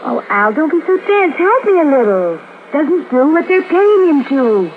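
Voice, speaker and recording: High-voiced, anxious, insistent radio wife. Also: female voice, very animated, unhurried, phone-quality sound. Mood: urgent, reasoning. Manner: urgent, earnest, persuasive. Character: anxious, insistent, upright young-sounding wife. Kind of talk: 1950s radio drama acting. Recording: thin, noisy 1950s radio recording.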